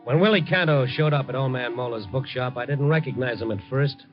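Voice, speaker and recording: An actor with an American accent playing a wry, streetwise reporter, narrating in punchy 1950s radio drama style. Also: male voice, mid-range voice, a little fast, faint music behind, close to an everyday voice. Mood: tense narration. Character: brisk, wry, streetwise male newspaper reporter. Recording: muffled vintage 1950 radio recording.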